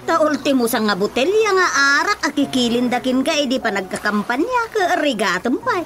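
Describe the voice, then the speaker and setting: An actress with an Ilocano accent playing a skeptical, nagging wife in a radio comedy drama. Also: female voice, high voice, very animated, fairly quick. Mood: nagging, exasperated. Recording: music behind the dialogue.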